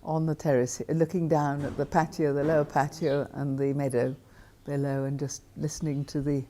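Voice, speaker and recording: Older woman, low-pitched, warm mellow tone, halting chatty manner, faint background hiss. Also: flat delivery. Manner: measured, chatty, many hesitations and restarts. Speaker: hotel owner. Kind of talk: casual tour and interview. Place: outdoors and indoors at a country hotel.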